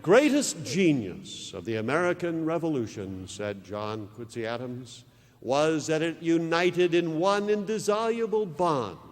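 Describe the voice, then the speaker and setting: This is a male pastor preaching with fervor, his pitch leaping and falling as he lingers on each phrase. His voice carries a long hall reverb, as if from a church sanctuary. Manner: impassioned, dramatic swings in pitch and volume. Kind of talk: televised sermon.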